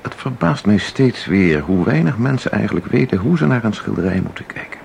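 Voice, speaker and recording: A man's voice: fairly low pitch, expressive, brisk, gravelly, darkish tone, a little hiss or hum. An actor playing an intense, mysterious man in a radio drama, speaking with insistent, theatrical deliberation. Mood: probing, self-assured. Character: intense, mysterious man who claims to enter paintings. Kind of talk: Dutch radio drama acting.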